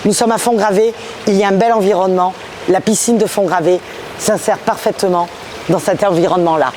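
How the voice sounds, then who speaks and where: A woman's voice: medium pitch, steady tone, fairly quick, a little mellow, a little hiss or hum. A woman presenting a guided tour to viewers, explaining things directly as a local official. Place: on location at a new indoor swimming pool and outside it.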